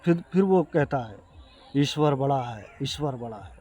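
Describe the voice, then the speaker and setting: A man with an Indian accent giving an earnest explanation to viewers, speaking haltingly and repeating himself. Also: mid-range voice, animated, dark tone, soft background music. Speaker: video creator addressing viewers. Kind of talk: explanatory talk to camera.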